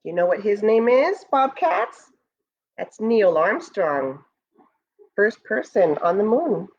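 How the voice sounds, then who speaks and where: Brief: female school storyteller, expressive and lively, unhurried, addressing children directly, slightly dull audio. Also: medium pitch, slightly rough. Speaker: school staff member reading a picture book to pupils. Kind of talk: children's read-aloud with asides.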